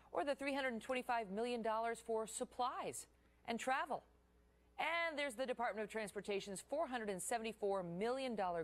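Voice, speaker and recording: An American woman speaks as a television news host. She presents in a broadcast anchoring style with a mid-range voice. Her reading mixes with conversational asides. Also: a little high.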